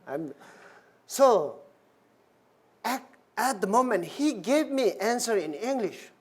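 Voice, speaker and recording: A woman's voice: on the high side, big pitch swings, darkish tone, slightly rough. Woman minister telling a dharma story. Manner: storytelling, halting non-native English with restarts. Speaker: Buddhist minister. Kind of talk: dharma talk.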